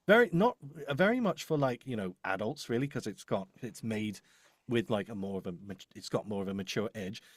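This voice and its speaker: Low-voiced man, rambling and explaining. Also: expressive, brisk, no room sound. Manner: rambling, hesitant, thinking aloud. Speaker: voice-over demo producer. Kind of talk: casual explanatory chat.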